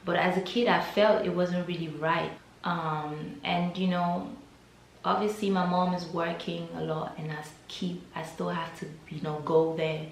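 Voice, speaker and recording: Young Nigerian woman, slow and hesitant storytelling vlogger, lowish voice, echoey room. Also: steady tone, creaky, slight background noise. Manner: slow, reflective, hesitant telling. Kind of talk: personal storytime.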